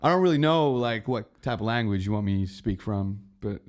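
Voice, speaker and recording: A man's voice: on the low side, expressive, brisk. A young man, a guest on a casual podcast chat, talking in a rambling, laid-back way. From Australia. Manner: rambling, laid-back, full of fillers and restarts. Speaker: guest, music producer.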